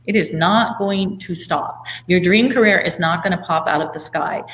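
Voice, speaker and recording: A woman's voice: lowish voice, moderate pace, fairly bright tone. A middle-aged woman co-hosting an online course webinar, presenting the offer in a conversational sales style. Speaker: co-host, business partner presenting a course. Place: indoor room at home, video call.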